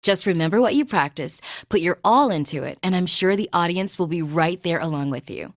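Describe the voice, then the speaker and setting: Suave, flirty noblewoman, lively and dry-miked. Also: fairly low pitch, fairly quick, no room sound. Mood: encouraging. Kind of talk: anime game dub acting.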